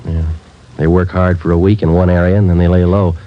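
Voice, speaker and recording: A man's voice: low-pitched, little pitch movement, a little fast, natural voice. An actor playing a terse male police detective sergeant who narrates in a clipped, matter-of-fact way, in a 1950s radio drama. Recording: dull old radio transcription with faint hiss.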